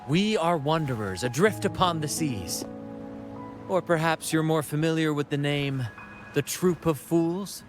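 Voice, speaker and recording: A flamboyant young captain of wandering players, acted by a man in a video game. He speaks with gallant, confident showmanship in a somewhat high male voice. Music is clearly audible underneath. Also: unhurried, close to an everyday voice. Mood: gallant, reassuring.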